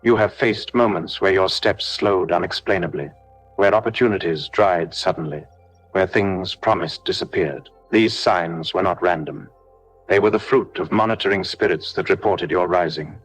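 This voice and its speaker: A man leading a scripted devotional warfare prayer, speaking solemnly in measured, declarative short phrases. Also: sits low, soft background music, poor audio. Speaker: prayer leader.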